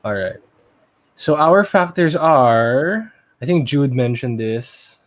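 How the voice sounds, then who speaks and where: A man's voice: medium pitch, animated, slow pace. Filipino man thinking aloud on a podcast. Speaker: podcast co-host. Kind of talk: casual pop culture podcast chat.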